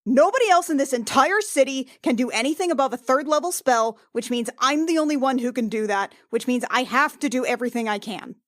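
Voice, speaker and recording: A woman acting as an anxious young healer in a tabletop roleplay. The voice is fairly high and dry, close to the mic. The delivery is emphatic and stubborn, full of guilt and swearing. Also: fairly quick, hardly any pauses, dry recording, natural voice. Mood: desperate insistence. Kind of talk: in-character improvised tabletop roleplay.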